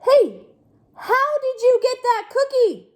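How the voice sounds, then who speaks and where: An indignant storybook pigeon, acted out by a woman, pitched very high and swooping wildly. It whines and feels sorry for itself, stretching complaints out with melodramatic outrage. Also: very animated, exaggerated voice. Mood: incredulous. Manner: whining, exclamatory, drawn-out complaining. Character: indignant, self-pitying, melodramatic young pigeon. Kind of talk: children's picture book read-aloud.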